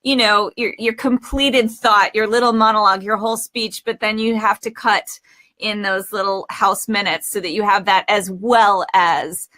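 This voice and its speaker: Bright, chatty woman coach. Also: medium pitch, fairly even intonation, moderate pace. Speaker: voice acting coach and host. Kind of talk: casual webinar chat.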